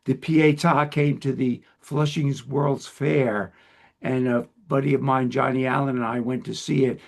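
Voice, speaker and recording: A man in his seventies hosting a casual interview, talking in a rambling, halting and self-deprecating way. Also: mid-range voice, little pitch movement, gravelly. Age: 70s. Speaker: host interviewer.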